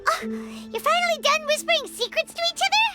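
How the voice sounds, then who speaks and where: Tiny greedy fairy sidekick for a game: shrill, childish, extremely high-pitched. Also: female voice, lively intonation, a little fast, sharp tone, exaggerated voice. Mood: indignant. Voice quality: squeaky, shrill. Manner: whiny, refers to herself in third person. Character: tiny childish female fairy companion, gluttonous and indignant. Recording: music behind the voice.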